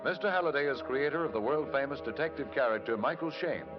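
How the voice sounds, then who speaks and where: A man announcing an old-time radio mystery show with booming, formal delivery. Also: expressive, quick pace. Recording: dull lo-fi old radio recording with music.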